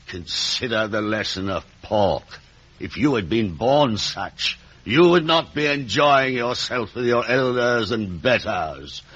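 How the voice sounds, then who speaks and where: An actor playing a pompous, gluttonous middle-aged uncle who sermonizes ponderously in a 1950s radio drama. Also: male voice, medium pitch, pushed and bright, husky, exaggerated voice. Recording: muffled vintage radio broadcast.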